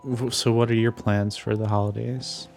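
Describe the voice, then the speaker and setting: A man hosting a casual interview, asking questions in a gentle, encouraging way. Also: on the low side, flat delivery, normal speed, creaky, faint music behind. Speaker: host interviewer.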